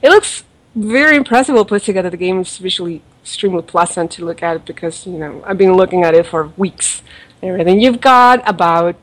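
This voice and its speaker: A woman's voice: on the low side, expressive, brisk, rough recording. A woman with an accent, chatting casually on a podcast and rambling with fillers and laughs as she reviews a mobile game. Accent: non-native accent, origin not stated. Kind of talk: casual chat.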